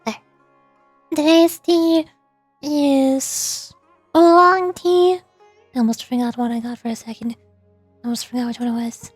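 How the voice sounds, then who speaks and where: A woman's voice: high voice, measured pace, pauses often, dry recording, soft background music. A woman livestreamer chatting casually in a playful, sleepy manner. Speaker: VTuber streamer voice-acting game dialogue.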